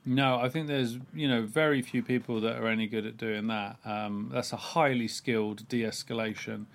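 A man co-hosting a podcast who explains psychology ideas in a measured way, with frequent ums. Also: medium pitch, steady tone, vocal fry. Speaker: podcast co-host. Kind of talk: casual explanatory chat.

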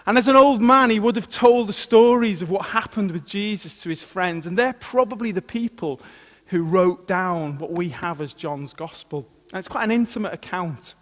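A man, once a vicar, delivers a sermon with a lively, self-deprecating story. His voice sits high for a man. A long reverb trails every phrase, as in a large hall. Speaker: former vicar giving a talk. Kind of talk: sermon with a personal anecdote.

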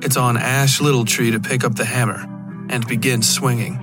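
A man narrating a scripted horror fiction podcast in a measured storytelling style. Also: mid-range voice, flat delivery, over loud music.

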